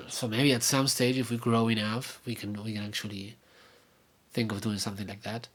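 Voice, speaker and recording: A man's voice: fairly low pitch, little pitch movement, a bit bright, slightly rough. Argentine man rambling in a casual update. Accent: Argentine Spanish. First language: Spanish. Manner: rambling, halting, with many fillers and restarts. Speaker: vlogger and podcaster.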